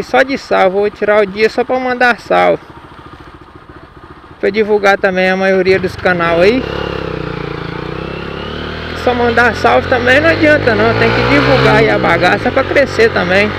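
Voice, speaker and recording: Slangy young Brazilian motovlogger, gravelly and high. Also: male voice. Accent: Brazilian Portuguese, Minas Gerais. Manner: slangy, swears at drivers, irritated outbursts. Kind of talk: casual running commentary while riding. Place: city streets outdoors on a motorcycle. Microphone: helmet or action camera mic. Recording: loud road and engine noise.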